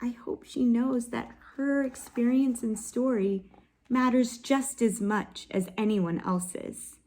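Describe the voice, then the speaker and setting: A woman reads a picture book aloud to young listeners in a slow, unhurried way. Her voice sits a little on the high side, and she stops often to ask gentle questions, sometimes dropping to a soft whisper close to the mic. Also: fairly even intonation, rough recording. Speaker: children's read-aloud host. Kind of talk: expressive picture-book read-aloud with teaching pauses.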